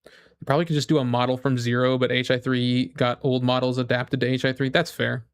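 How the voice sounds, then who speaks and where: A man's voice: mid-range voice, level tone, a little fast, clean tone, no echo, clean studio sound. A man chatting casually as a gaming streamer, rambling enthusiastically and thinking aloud with false starts. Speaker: streamer reacting and chatting with viewers.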